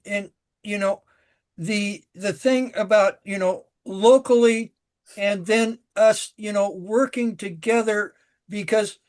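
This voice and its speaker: A man moderating a group discussion, stammering at the start of his turns and calling on the next speaker. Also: sits high.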